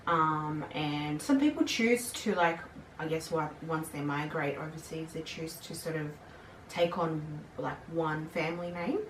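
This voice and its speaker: A young woman with a lowish voice chats casually as a guest and explains things at length. The sound is roomy and picked up from a distance. Faint noise sits underneath. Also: unhurried. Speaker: guest, older sister. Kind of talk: casual chat.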